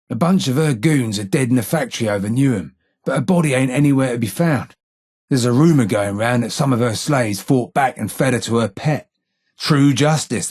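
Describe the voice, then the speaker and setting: Husky, sardonic old London gangster ghoul. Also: male voice, mid-range voice. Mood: grave. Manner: salty, sarcastic Cockney slang. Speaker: video game character. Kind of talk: video game dialogue acting.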